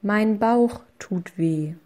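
A woman reading short phrases slowly and clearly, saying each one twice for learners. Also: mid-range voice, warm tone. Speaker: language lesson voice. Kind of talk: slow repeated phrase reading.

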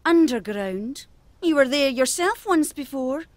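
A haughty islander in an audio drama, cryptic and withholding. Her formal speech moves widely in pitch, recorded very dry. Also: animated, measured pace, no echo. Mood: condescending. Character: haughty, cryptic island woman, coolly condescending.

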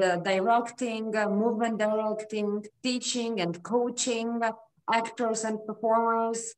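A woman's voice: on the high side, reverberant, rough recording. A woman from Ukraine, a guest in an interview who speaks earnestly with many hesitations. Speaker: program coordinator, guest. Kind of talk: casual video interview.

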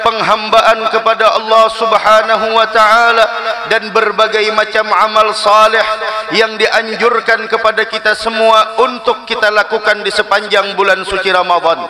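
Man, middle-aged, declamatory Friday preacher at a stand mic; high, even pitch; clear crowd in the hall. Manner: fervent, declamatory, with chanted Arabic. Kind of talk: Friday sermon. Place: mosque pulpit. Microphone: stand mic at the pulpit.

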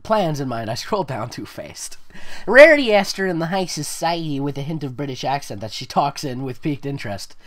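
A man's voice: a little high, big pitch swings, fairly quick, a bit bright, a little hiss or hum. A man reading fan fiction aloud in a mocking, amused way, with sarcastic asides.

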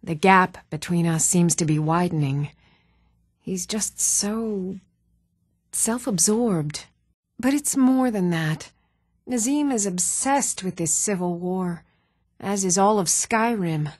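An actress playing an even-toned townswoman and shopkeeper in a fantasy video game, speaking in measured, clearly enunciated, theatrical phrases. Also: lowish voice, no room sound, studio quality. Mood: troubled, weary. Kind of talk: scripted video game voice acting.

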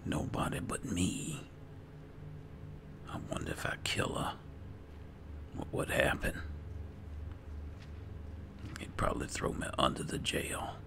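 Un uomo che commenta un videogioco in stile gioco di ruolo, riflettendo ad alta voce nei panni del personaggio, con musica di gioco sotto. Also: male voice, extremely low pitch, wildly swooping pitch, slow pace, vocal fry, long pauses, in a whisper. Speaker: gaming channel host role-playing as an alchemist. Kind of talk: slow, musing in-character game roleplay commentary. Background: game music.

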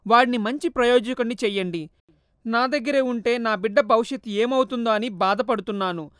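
Woman doing a gentle grieving mother ghost. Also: on the high side, normal speed, clear, resonant tone, dry recording, studio quality, voice pushed up, lightly acted. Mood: tearful. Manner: soft, tender, tearful. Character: poor loving mother, gentle sad ghost.

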